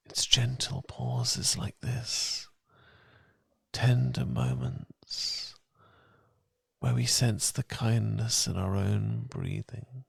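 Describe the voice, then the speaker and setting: A British man murmurs as a loving, comforting boyfriend in a romantic ASMR relaxation. His low voice is heavily creaky and husky, often slipping into a whisper. He speaks slowly and tenderly, very close to the microphone. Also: no room sound. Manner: gentle, tender, unhurried, soothing. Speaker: ASMR audio performer. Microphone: close microphone, dry.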